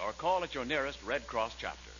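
A man's voice: mid-range voice, lively intonation, brisk, fairly bright tone. A man announcing in emphatic, projected 1940s radio style. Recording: old muffled radio with hiss.

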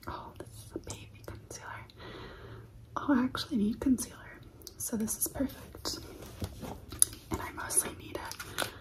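A woman in her twenties whispering in a soft, breathy, unhurried ASMR style, close to the mic in a living room. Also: on the high side. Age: 20s. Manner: soft, unhurried, repeats words slowly. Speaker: ASMR video creator.

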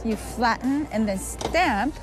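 A woman in her fifties reporting on location in a chatty, excited way. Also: fairly high pitch, slow, music in the background. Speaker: field reporter.

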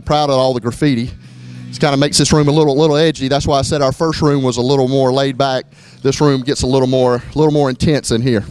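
A middle-aged man from Florida, a gym owner giving a casual walkthrough of his gym, talking in a relaxed way with lots of fillers and restarts. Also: medium pitch. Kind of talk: walkthrough tour, casual narration. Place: gym indoors, moving through rooms.

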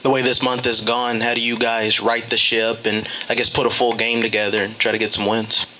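Male reporter, bright, flat delivery, steady questions. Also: mid-range voice, brisk, a little hiss or hum, poor audio. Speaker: sports reporter. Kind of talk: press conference question.

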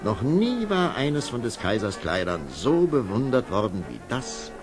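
A man narrating a scripted fairy tale as an expressive storyteller. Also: mid-range voice, a little slow, music in the background.

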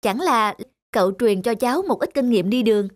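A woman's voice: on the high side, fairly quick, close to an everyday voice. A woman voicing a polite, earnest young man in a cartoon dub. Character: polite, cheerful young traveling nephew. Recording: loud music behind the voice.

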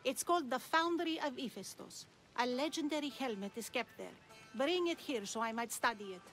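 An actress playing an enigmatic, lofty older wise woman in a video game, speaking in a measured, cryptic, superior way. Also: high-pitched, lively intonation, fairly bright tone, soft background music. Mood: cryptic, testing. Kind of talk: video game cutscene dialogue.

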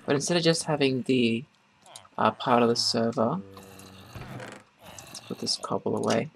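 A man giving casual, rambling gameplay commentary in a halting way as host of a gaming video. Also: medium pitch, vocal fry. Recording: noisy, keyboard and mouse clicks.

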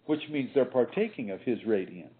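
A man's voice: mid-range voice, expressive, normal speed. Guy hosting a spiritual call-in talk, rambles and repeats himself, sounds like a phone line. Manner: rambling, repeats words and restarts often.

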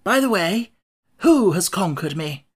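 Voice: mid-range voice, very animated, a little slow, husky, voice pushed up. A storybook reader voicing a genial, self-important scarecrow king who speaks in a pompous, declamatory way. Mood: grave inquiry.

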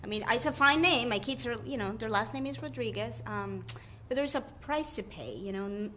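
A woman's voice: fairly high pitch, lively intonation, moderate pace, a bit bright, slight background noise. A woman from Peru, a guest mom chatting casually and with animation in a gallery shop. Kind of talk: casual chat.

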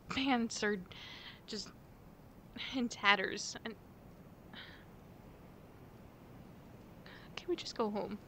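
Woman acting upset werewolf gf in asmr roleplay, super slow n stammery, sighs, rumbly noise behind. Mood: cold, miserable. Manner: halting, stammering, sighing. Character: distraught, apologetic werewolf girlfriend, ashamed and tired. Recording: steady rumbling background noise.

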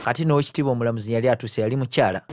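A man's voice: mid-range voice, rapid-fire, background noise. A man with a Ugandan accent giving a colloquial voice-over translation of a film, acting out the lines as he goes. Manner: colloquial, acts out the characters' lines. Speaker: film narrator and live translator (VJ).

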